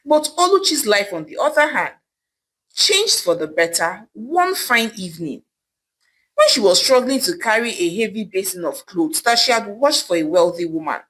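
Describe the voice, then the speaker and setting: Bright, animated woman telling a folktale. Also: mid-range voice, normal speed, low-quality recording. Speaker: storyteller. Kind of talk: dramatic scripted folktale narration.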